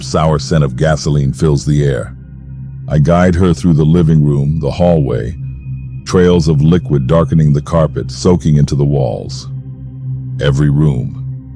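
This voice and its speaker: Audio drama villain, male actor: extremely deep, cold, commanding, with clear music behind. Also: unhurried. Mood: menacing commands. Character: Trey, menacing, ruthless armed male villain narrating his arson. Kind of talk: first-person audio drama narration with dialogue.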